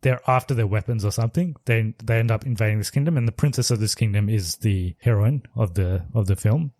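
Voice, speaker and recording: A man's voice: fairly low pitch, fast, dark tone, no room sound, studio quality. A man co-hosting a podcast, chatting casually about films, rambling and hedging with frequent laughs. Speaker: podcast co-host. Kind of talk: casual movie review chat.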